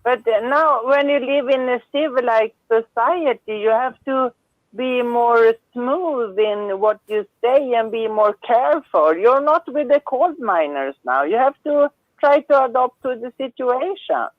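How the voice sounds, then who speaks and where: A woman from Sweden with a Swedish accent, a regular caller speaking English slowly and hesitantly on a call-in show. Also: a little high, lively intonation, no echo. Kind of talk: casual call-in chat. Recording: muffled phone line.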